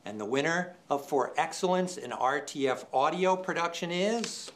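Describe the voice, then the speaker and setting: A man in his sixties speaking as a presenter in a formal, measured, scripted style, enunciating deliberately. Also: on the high side, expressive. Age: 60s. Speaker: department chair presenting awards. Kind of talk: scripted awards show presentation to camera. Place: indoor studio set.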